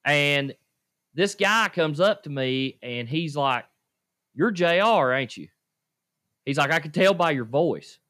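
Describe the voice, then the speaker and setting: A man's voice: on the high side, lively intonation, takes it slowly, pauses often, fairly bright tone. A man, co-hosting a podcast and telling self-deprecating stories with laughs. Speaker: podcast co-host. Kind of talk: casual podcast storytelling.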